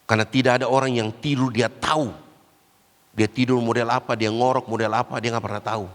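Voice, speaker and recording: Congested preacher in a reverberant church. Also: male voice, steady tone, quick pace. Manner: swings between loud, high exhortation and low, quiet asides. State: has a cough and a cold. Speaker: guest preacher. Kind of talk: Pentecostal sermon. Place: large church hall. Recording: church hall with long reverb.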